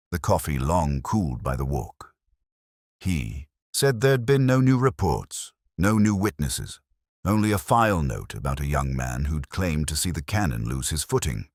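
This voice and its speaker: A man playing a brooding older detective inspector, narrating an audio drama in a measured, reflective, literary way, solemn and wry. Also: rumbling low, no echo, studio quality, heavily acted.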